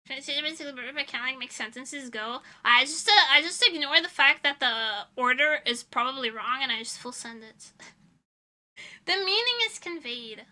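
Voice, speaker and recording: A woman's voice: high-pitched, normal speed, sharp tone. A young woman streaming as a VTuber, chatting casually with her viewers in a rambling, giggly way.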